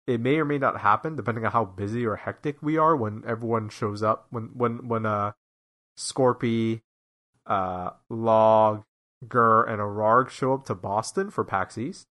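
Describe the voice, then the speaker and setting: A man's voice: on the low side, a little slow, pushed and bright. A man with a nasal voice hosting a podcast alone, chatting casually with self-mocking humor and many restarts. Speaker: podcast host and editor. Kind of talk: casual solo podcast chat.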